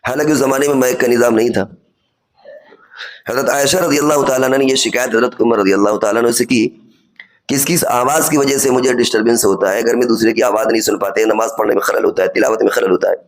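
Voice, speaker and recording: A man's voice: medium pitch, fairly even intonation, fast talker. Man preaching a didactic religious sermon. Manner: didactic, reasoning step by step with examples and rhetorical questions. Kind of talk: sermon, explanatory religious lecture.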